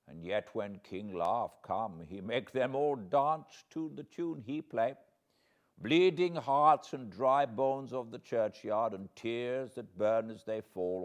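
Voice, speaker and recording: Old professor for an audiobook, really slow n low, sad wry philosopher, halting inverted grammar. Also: male voice, full character voice. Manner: halting, dramatic foreign phrasing with inverted grammar. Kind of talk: audiobook character reading.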